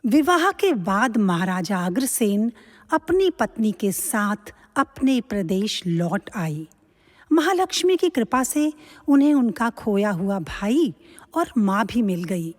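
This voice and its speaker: A woman narrating a mythological tale as scripted dramatic storytelling, expressive and deliberate. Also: medium pitch. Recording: long reverberant hall echo.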